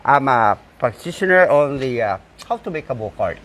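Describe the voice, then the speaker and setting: A man's voice: mid-range voice, sing-song, normal speed, fairly bright tone. A man, a farmer and bull cart maker, talking in a casual interview with many fillers and restarts. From Saipan, Northern Mariana Islands. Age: 60s. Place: outdoors at his home.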